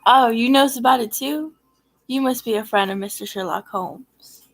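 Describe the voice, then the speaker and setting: A woman's voice: a little high, moderate pace, vocal fry. A woman playing a brash working-class street woman in old-style radio drama, scrappy and colloquial. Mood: chatty. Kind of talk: 1940s radio drama acting.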